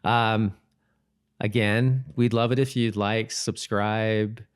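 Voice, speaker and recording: Male co-host, lower register, informal talk, halting delivery with frequent rephrasing. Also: steady tone, clear, resonant tone. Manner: halting, repeats and rephrases himself. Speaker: podcast co-host. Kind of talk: casual chat about a TV episode.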